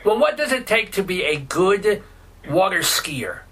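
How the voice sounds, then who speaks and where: A man's voice: sits high, sing-song, measured pace, a bit bright, husky. A man hosting a talk radio interview, blunt and incredulous, firing wisecracks and questions at his guest. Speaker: radio host.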